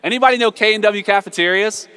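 An American man preaching a conversational, humorous sermon full of storytelling, emphatic and animated, repeating phrases for effect. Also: high voice, quick pace, smooth. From southern United States. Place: large reverberant room, live before a congregation. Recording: reverberant hall.

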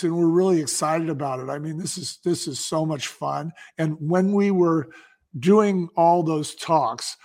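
Man, fairly high voice, podcast guest, casual storytelling, enthusiastic pitchman manner. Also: a little mellow. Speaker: podcast guest, business founder. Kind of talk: casual interview talk, storytelling.

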